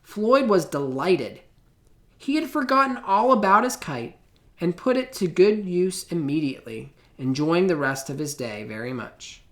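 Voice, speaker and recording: A man reading a children's picture book aloud in an expressive, theatrical way, with deliberate pauses. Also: fairly high pitch. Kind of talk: children's storybook read-aloud.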